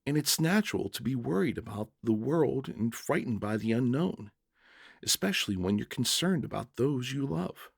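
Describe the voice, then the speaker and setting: Man voicing a gentle, slow-spoken serene prisoner. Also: mid-range voice, lively intonation, gravelly, husky, no room sound, clean studio sound. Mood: soothing, understanding. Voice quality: soft, kind voice. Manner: slow, tender, reassuring. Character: gentle, serene male prisoner, kind and wise. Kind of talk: dramatic short-story reading.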